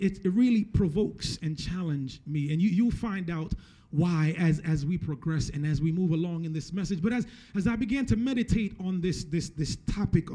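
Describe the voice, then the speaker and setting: A man's voice: high-pitched, mellow. A man in his thirties from Jamaica preaching a conversational sermon into a handheld mic, repeating phrases for emphasis. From Jamaica, moved to the US at eleven. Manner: repeats and restarts phrases for emphasis, often tags points with "right?". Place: church stage, indoors. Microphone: handheld microphone held close.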